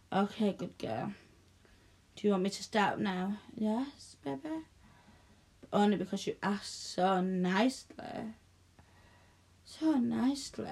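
A woman speaking softly and coaxingly in an intimate audio roleplay, close to the mic, often dropping to a whisper. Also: medium pitch. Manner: soft, coaxing, slow and breathy with frequent whispers.

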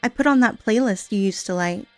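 A woman voicing an unstable, obsessive young woman in an audio roleplay, speaking in a breathy, sing-song coo that turns menacing. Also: mid-range voice, fairly quick, no room sound, faint music behind, natural voice. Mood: sweet creepy.

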